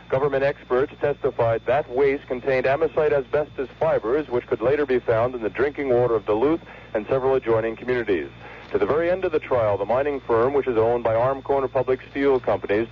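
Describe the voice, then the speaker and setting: A man reporting as a news correspondent in a steady reportorial delivery. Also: mid-range voice, slightly rough. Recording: dull old radio broadcast.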